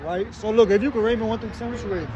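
A man's voice: high-pitched, very fast, noisy background. A young man hosting a playful, slangy street interview outside a mall at night. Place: outdoor parking lot at night by a mall.

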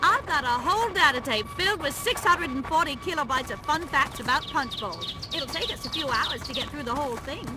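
A woman's voice: high-pitched, animated, brisk, hardly any pauses. A woman voicing a chirpy, naive, over-polite female tour-guide robot in a video game. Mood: perky, helpful. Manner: perky, over-polite helpful chatter. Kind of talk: video game character acting. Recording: music behind the voice.

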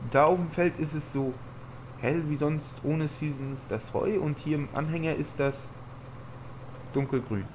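A man's voice: a little high, normal speed. A man with a slight cold, commenting casually on his farming game and thinking aloud as he drives. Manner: rambling, thinking aloud with fillers. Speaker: gaming channel host. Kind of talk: casual let's-play commentary. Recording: audible background noise.

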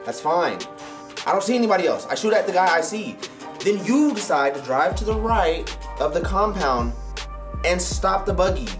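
A man's voice: sits high, lively intonation, moderate pace. A young man, an esports player, talking heatedly with his team while they play, in an emphatic way. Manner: heated, emphatic gamer talk. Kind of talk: team chat during play. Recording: background music under voice.

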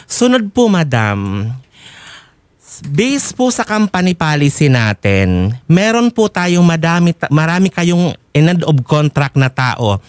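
A man's voice: on the high side, big pitch swings, slow pace, smooth, no echo. A man with a Filipino accent laying out grievances formally and insistently as a complainant. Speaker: complainant, factory worker. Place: broadcast studio, crowded with coworkers. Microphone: desk microphone.

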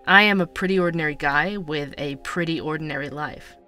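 A man's voice: a little high, fairly quick, sharp tone, faint music behind. An actor playing a curious, earnest young man narrating his audio drama podcast. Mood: earnest, wry. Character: curious, earnest young male podcaster and coffee shop worker.

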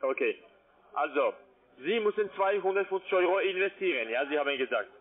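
A man's voice: on the high side, animated, fairly quick. A man who is not a native German speaker, working as a call centre sales agent, insistent and ungrammatical in his phrasing. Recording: narrow tinny phone line.

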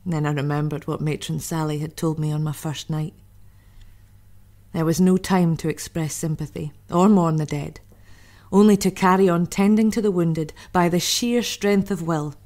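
An actress plays a wartime nurse recalling hard duty. Her voice is slightly low for a woman. She tells it sombrely and reflectively, as an audio drama monologue. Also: steady tone, normal speed, mellow, lightly acted. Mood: sombre recollection. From Scotland. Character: sorrowful, dutiful wartime army nurse from Glasgow.

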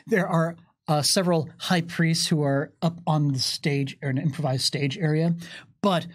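A man in his thirties narrating a tabletop game and explaining its rules in a conversational, animated way. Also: a little high, normal speed. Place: small curtained studio, indoors. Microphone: microphone on desk boom arm.

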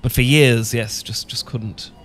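A man's voice: medium pitch, steady tone, unhurried, fairly bright tone, close to an everyday voice. A man with a British accent playing an awkward, sentimental English professor in a romantic ASMR roleplay, stammering and hesitant. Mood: wistful. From Britain. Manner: stammering, hesitant, full of false starts. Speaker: audio roleplay performer.

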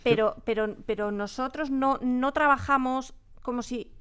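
A woman's voice: high voice, moderate pace, fairly bright tone, clear, resonant tone. A woman with a Spanish accent from Spain talking casually in an interview, explaining emphatically and repeating words as she searches for phrasing. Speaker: audio drama producer and sound designer, interview guest. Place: radio drama studio.

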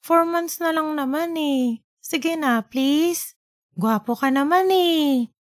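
A woman's voice: high voice, slow pace, dry recording, clean studio sound, pitched above the performer's own voice. A woman voicing a cutesy, sweet-talking wife who coaxes in an audiobook. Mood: pleading, cutesy. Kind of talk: audiobook character reading.

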